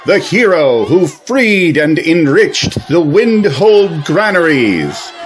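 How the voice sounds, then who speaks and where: Man as formal royal attendant, very animated pitch. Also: heavily acted. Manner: formal, deferential. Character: deferential royal servant and ceremonial herald. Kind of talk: audio drama acting.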